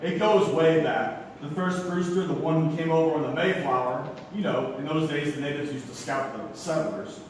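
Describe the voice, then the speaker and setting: An actor playing a frantic, sardonic young nephew in a comic stage play, flustered and sputtering. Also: male voice, on the high side, normal speed, hardly any pauses, natural voice. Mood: uneasy, resigned. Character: frantic, exasperated theatre critic nephew, sardonic young man. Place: small theatre, live performance. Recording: echoey stage recording from far off, noisy.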